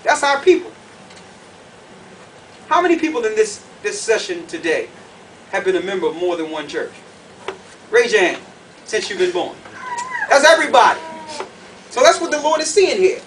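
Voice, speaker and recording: A man preaching to a group in an animated, colloquial way, emphatic and full of rhetorical questions. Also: sits high, normal speed, long pauses, distant mic. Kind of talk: animated sermon with questions to the audience. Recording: noisy room, picked up from afar.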